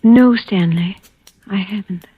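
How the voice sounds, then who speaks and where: An actress playing a frail, docile wife who speaks in a hesitant, dreamy daze, in 1940s radio style. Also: female voice, medium pitch, slow, breathy edge, no room sound, muffled recording. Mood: docile, dazed. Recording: thin, band-limited old radio recording.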